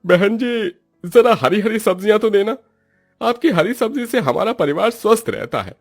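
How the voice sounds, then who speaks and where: A man's voice: high voice, lively intonation, normal speed, soft background music. A man voicing a friendly, approving village shopper in a cartoon story, chatty and emphatic.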